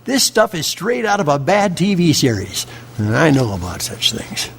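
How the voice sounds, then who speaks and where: Lively, wisecracking, grumbling man. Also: on the high side, gravelly, a little hiss or hum. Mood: sardonic. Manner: sardonic, halting, blustery. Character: wisecracking, grumbling everyman friend with comic flair. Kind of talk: family radio drama acting.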